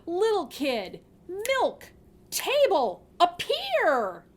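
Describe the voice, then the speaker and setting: A woman voicing a kindly wise woman in a fairy tale, giving deliberate, singsong instruction. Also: very high-pitched, wildly swooping pitch, pitched above the performer's own voice, exaggerated voice. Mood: instructive, magical.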